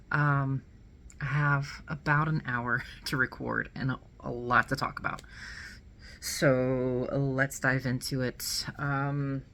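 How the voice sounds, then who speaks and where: Low creaky woman, nasal with a cold. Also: fairly bright tone. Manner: rambling and self-correcting, with light laughs. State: nasal, getting over a cold. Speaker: cross stitch vlogger. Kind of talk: casual chat to camera. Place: small indoor room at home.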